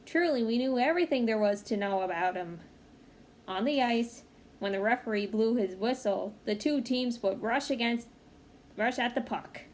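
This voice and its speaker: A woman with a fairly high voice reads a children's picture book aloud. She is expressive and speaks as if to young listeners. There is some faint background noise in the recording. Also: moderate pace. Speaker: read-aloud storyteller. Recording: clearly noisy home recording.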